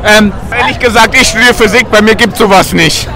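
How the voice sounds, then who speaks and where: A man's voice: high-pitched, fairly quick, music in the background, crowd noise. A young man in his twenties answering a street interview hesitantly, with lots of filler sounds. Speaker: passerby. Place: outdoors on a city street.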